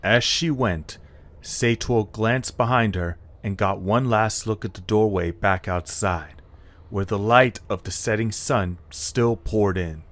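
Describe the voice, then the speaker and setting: Slow, low-voiced male storyteller. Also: a bit bright, a little hiss or hum. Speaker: narrator. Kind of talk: scripted story narration.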